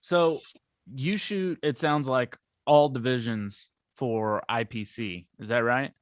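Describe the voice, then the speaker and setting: Male podcast host, middle pitch, conversational and affirming. Dry close sound. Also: slow pace, dry recording. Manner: friendly, chatty questions, many affirmations. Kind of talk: casual podcast interview.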